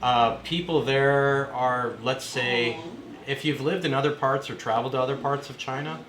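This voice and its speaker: A man in his forties from Canada, telling stories in a relaxed conversational way with plenty of fillers, as an interview guest in an apartment. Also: mid-range voice, moderate pace, reverberant, a little hiss or hum, rough recording. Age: 40s. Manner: relaxed storytelling with frequent fillers. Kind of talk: casual conversation. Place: apartment room.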